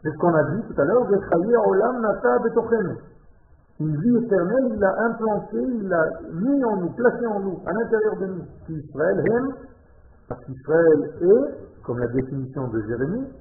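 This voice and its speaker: A man from Israel, speaking French as a learned language and giving a passionate religious lesson to a small group, shifting from quiet talk to loud exclamations. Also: sits high, lively intonation, normal speed. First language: Hebrew. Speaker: religious teacher. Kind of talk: Torah lesson to a live group. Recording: tinny phone-like echoey room.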